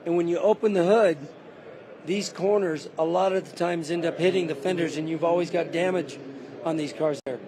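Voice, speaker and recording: A car builder who is losing his voice, calmly giving a detailed walkaround of a custom car on a show floor. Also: on the high side, moderate pace, noisy background. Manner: detailed, matter-of-fact.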